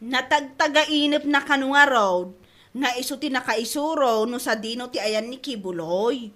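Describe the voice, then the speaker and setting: A woman's voice: high voice, unhurried, fairly bright tone. A woman with an Ilocano accent acting as a gossipy, exasperated complainer in a radio comedy drama, incredulous and scornful. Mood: exasperated, gossiping.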